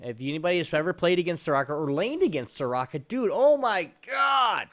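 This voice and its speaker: A man's voice: on the high side, animated, a little fast, fairly bright tone, no room sound. A man co-hosting a gaming show, talking casually in an opinionated, slangy way.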